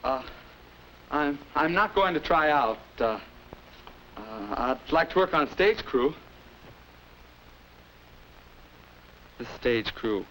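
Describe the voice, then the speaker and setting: An actor playing an anxious, earnest high school boy with an American accent, narrating hesitantly and confidingly in an old 1950s instructional film. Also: lively intonation, a little slow, fairly bright tone, slight background noise, low-quality recording.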